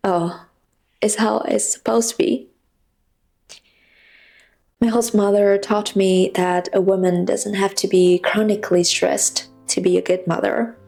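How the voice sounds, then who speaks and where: Young non-native woman with slightly creaky voice. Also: mid-range voice, little pitch movement, a little slow, pauses often, darkish tone. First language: not English. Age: 20s. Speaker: personal vlogger. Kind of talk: reflective scripted personal narration.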